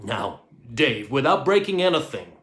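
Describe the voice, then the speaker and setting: A man's voice: mid-range voice, big pitch swings, normal speed, a bit bright. Man playing a fussy, fatherly space captain, coaxing tone, roomy sound. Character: nervous, fatherly male rocket captain.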